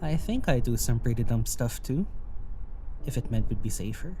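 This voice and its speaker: An actress playing an evasive, low-key mystic traveler in a naturalistic audio drama, hesitant and understated. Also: female voice, expressive, normal speed, music in the background.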